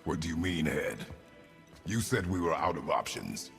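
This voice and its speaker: An actor playing a stern, battle-hardened warrior god and father in a video game, speaking in terse, commanding, formal phrases with a deep gravelly voice. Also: animated, moderate pace, soft background music, full character voice. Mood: gruff, guarded.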